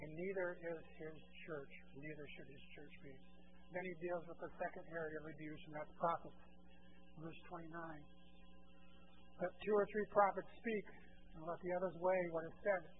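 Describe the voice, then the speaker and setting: A man's voice: fairly high pitch, fairly even intonation, normal speed. A man preaching an expository sermon to a congregation in an emphatic, instructive manner, posing rhetorical questions and repeating key phrases. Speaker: preacher teaching a church congregation. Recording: muffled lo-fi, clear hum and noise.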